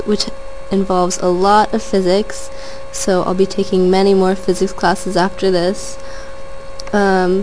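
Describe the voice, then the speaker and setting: A woman's voice: medium pitch, darkish tone, a little hiss or hum, poor audio. A young woman in her twenties, a college student, talking about herself in an earnest, rambling monologue that strings thoughts together with "and". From Southern California. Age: 20s. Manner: earnest, rambling, chains clauses with "and". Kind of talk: casual personal monologue.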